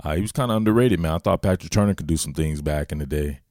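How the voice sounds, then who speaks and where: A man's voice: rumbling low, fast, darkish tone, dry recording, clean studio sound. A man with a Southern US accent hosting casual, slangy football commentary. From southern United States. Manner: slangy, informal, familiar address to listeners. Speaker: host, football analyst. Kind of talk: casual solo sports commentary.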